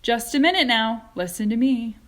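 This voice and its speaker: A woman voicing an eccentric, gallant male chocolate maker, brisk and unflappable, in a storybook reading. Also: fairly high pitch, expressive, fairly bright tone, voice pushed up. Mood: urgent, commanding.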